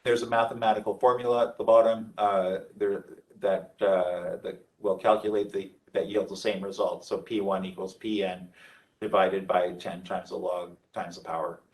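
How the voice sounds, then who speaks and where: Low-voiced man giving halting technical training, slightly distant. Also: level tone, darkish tone. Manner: halting, hesitant delivery with frequent pauses. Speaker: trainer presenting product training. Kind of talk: informal technical lecture over slides.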